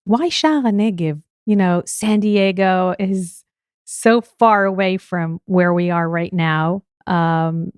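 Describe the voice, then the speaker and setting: A woman's voice: on the low side, slow, smooth, no echo. Israeli-accented woman hosting, dry sound. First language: Hebrew. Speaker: podcast host. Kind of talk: podcast interview.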